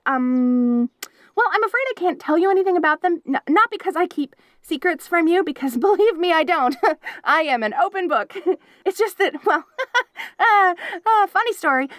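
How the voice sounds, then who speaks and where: A woman's voice: very high voice, lively intonation, normal speed, a bit bright, dry recording, studio quality. A woman playing a giggly obsessive wolf girl. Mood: rambling. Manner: giggly, stammering, rambling and eager. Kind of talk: audio roleplay.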